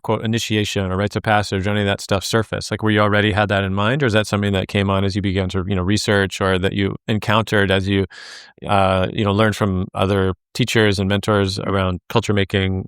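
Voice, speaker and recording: A man's voice: lowish voice, fairly bright tone, smooth, no room sound, clean studio sound. A man hosting a podcast interview, affirming his guest and asking long, rambling questions full of fillers. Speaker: podcast host. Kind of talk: interview conversation.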